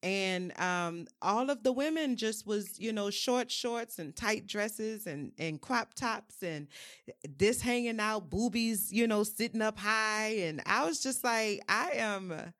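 A woman's voice: medium pitch, slow, a bit bright, no echo, studio quality. A woman from New Orleans, talking openly in a personal conversation, with frequent "um"s and "you know"s. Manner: open and frank, many "um"s and "you know"s.

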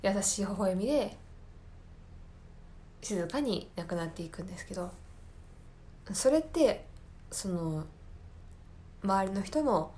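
A woman's voice: medium pitch, lively intonation, slow, pauses often, mellow. Reflective woman podcast host, searching for words. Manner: hesitant, searching for words, earnest. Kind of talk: reflective solo talk, personal film commentary.

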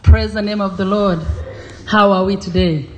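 A middle-aged woman from Kenya, giving an earnest testimony as a guest preacher in church, pausing often with fillers. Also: mid-range voice, steady tone, a little hiss or hum. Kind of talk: testimony sermon.

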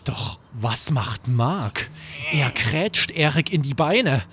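A man narrating a scripted children's bedtime story as podcast host, with expressive, dramatic storytelling. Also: medium pitch, slow pace, a little hiss or hum.

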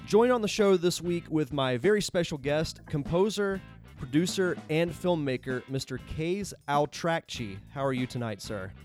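A man's voice: a little high, expressive, moderate pace, a little mellow, faint music behind. A man hosting a podcast in a conversational interviewing style, speaking close to the microphone. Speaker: podcast host.

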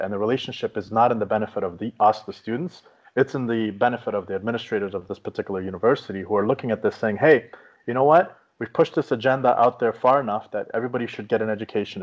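A slightly muffled, lo-fi recording of a man hosting a podcast. His voice sits a little low and he talks quickly, laying out his points in a long, emphatic, conversational way. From Afghanistan. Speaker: podcast host. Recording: dull lo-fi audio.

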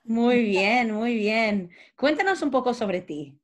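A woman's voice: fairly high pitch, animated, takes it slowly. A woman hosting a podcast, introducing her guest and asking friendly, curious questions. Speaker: podcast host. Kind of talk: podcast interview.

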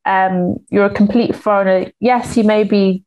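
British-ugandan woman, interview host, attentive, supportive, soft laughs, mid pitch. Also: steady tone, moderate pace, muffled recording. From Britain and Uganda. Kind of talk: conversational interview.

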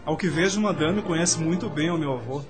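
Casting: actor as formal, earnest Brazilian-accented young romantic, light high male voice, radio drama over music. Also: fairly quick, natural voice. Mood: courteous. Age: young adult. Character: courteous, inquisitive young gentleman, romantic and earnest. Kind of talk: radio drama acting in a period story.

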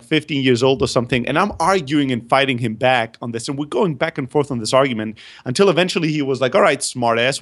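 A man from Greece tells his story as a guest on a podcast. He talks with candid, energetic self-deprecation. His voice sits at a middle pitch. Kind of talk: casual interview storytelling.

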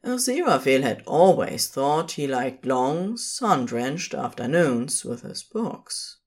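An audiobook-style narrator of fan fiction with an intimate storytelling delivery. The pace is very slow and deliberate, and the pitch moves in a lively way through each sentence. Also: slightly rough.

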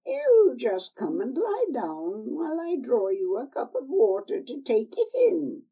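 A woman voicing a cackling, wheedling old crone who coaxes and warns in a harsh, shrill voice. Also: wildly swooping pitch, unhurried, warm tone, breathy edge, exaggerated voice, poor audio. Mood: coaxing. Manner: coaxing, harsh, screeching.

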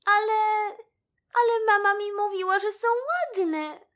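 A woman's voice: very high-pitched, very animated, slow pace, pitched above the performer's own voice, exaggerated voice. A woman voicing a sweet, sensitive little girl mouse in a children's story, with an earnest, childlike manner. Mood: hurt, sad. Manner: soft-hearted, childlike, earnest.

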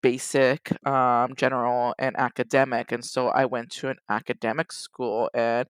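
A man speaking personally on a panel, in a halting, conversational way full of verbal fillers. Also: mid-range voice, level tone, clean tone, no echo. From Toronto, Canada. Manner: halting, frequent "you know" and "like".

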